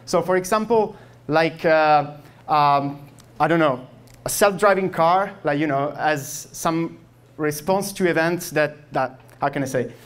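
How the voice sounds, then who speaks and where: A young man with an Italian accent, speaking English as a second language, gives a meetup tech talk into a handheld microphone, halting with many fillers and restarts. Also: a little high, clear, resonant tone. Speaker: software engineer giving a meetup talk. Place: meetup room with projector, audience.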